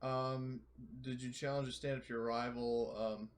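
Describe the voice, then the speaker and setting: A man playing a tabletop roleplaying game, talking casually and excitably and swearing freely. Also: mid-range voice, normal speed, a crowd in the background. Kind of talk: casual group game chat.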